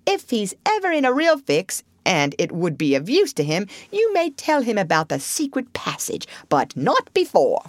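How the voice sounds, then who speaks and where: A woman voicing a stern older male badger from a storybook, with a dry, authoritative and deliberate delivery. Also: medium pitch, huge pitch swings, dry recording, pitched above the performer's own voice. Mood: confiding. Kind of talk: storybook character voice.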